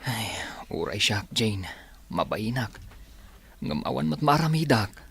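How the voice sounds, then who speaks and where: A man's voice: lowish voice, very animated, measured pace, gravelly, a bit bright, husky, full character voice. A man playing a poor, humble younger brother in a radio drama, meek and apologetic, with an Ilocano accent. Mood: ashamed, hopeful. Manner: meek, pleading.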